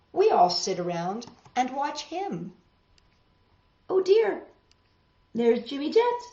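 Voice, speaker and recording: A woman with a high voice that swings widely as she leads storytime for little children. She takes her time and speaks expressively, straight to her young listeners. The sound is roomy and a little dull. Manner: expressive, unhurried, addressing children directly. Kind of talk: animated storytime talk and read-aloud for young children.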